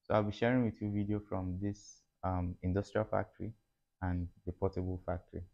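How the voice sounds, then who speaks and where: Low, flat-voiced Nigerian man giving steady advice. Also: muffled recording, a little hiss or hum. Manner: measured, advisory, with frequent fillers. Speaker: factory owner teaching business setup. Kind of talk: instructional talk to camera. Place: indoor room with curtains. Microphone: lapel mic.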